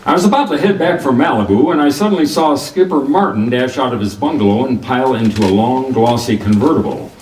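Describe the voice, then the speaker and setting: An actor playing a wisecracking, tough private detective in a 1940s-style radio drama, with wry, clipped delivery. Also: male voice, medium pitch, animated, fast, few pauses, a little mellow, natural voice. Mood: dry narration. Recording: roomy, distant mic with clear background noise.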